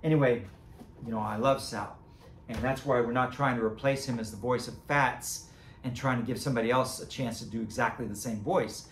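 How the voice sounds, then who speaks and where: Hoarse man chatting in an echoey room. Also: mid-range voice, steady tone, a little fast, slight background noise. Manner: rambling, chuckling, self-correcting. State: losing his voice, cracking. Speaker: creator and seller of animatronic band items. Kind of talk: casual unboxing vlog chat.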